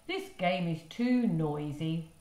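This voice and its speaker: A fussy, curious male storybook creature, voiced by a woman reader speaks very slowly and deliberately. The voice moves expressively in a roomy, echoing space. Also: fairly low pitch, expressive, dark tone. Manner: deliberate, repetitive pronouncements. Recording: roomy echo.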